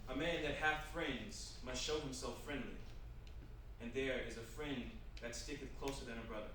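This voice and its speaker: A man's voice: medium pitch, moderate pace. A man narrating a screenplay as the reader of stage directions at a staged reading. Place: live staged reading in a room. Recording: distant mic in echoey noisy room.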